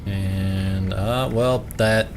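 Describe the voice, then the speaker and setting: A man's voice: deep voice, steady tone, extremely slow, over music. A young man from the American South with a Southern accent, streaming a game and rambling in self-mocking asides. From Southern United States. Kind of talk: casual gameplay commentary. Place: home room.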